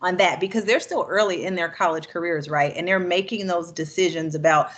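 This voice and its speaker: A woman with a lowish voice hosts a panel over a video call. She talks in a friendly, conversational way, tossing in upbeat asides as she leads into her questions. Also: a little fast. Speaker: session host.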